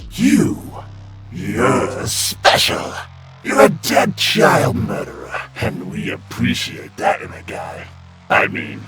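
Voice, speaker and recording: A man's voice: medium pitch, vocal fry, full character voice. A man playing a glib, sleazy demon guide of hell in a comic dub, full of slangy, theatrical sales patter. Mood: brash, teasing. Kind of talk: comic-book dub voice acting. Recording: loud music under the voice. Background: prominent music.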